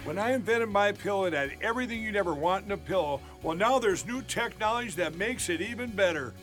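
A man reading an upbeat scripted pillow ad as its pitchman. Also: lively intonation, fast, a bit bright, soft background music. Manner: upbeat salesman. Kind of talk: scripted ad read.

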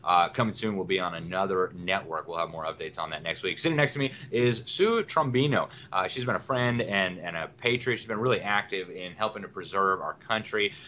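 Deep-voiced brisk male talk host. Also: pushed and bright. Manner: brisk, friendly, with fillers. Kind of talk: talk show interview. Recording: dull lo-fi with faint noise.

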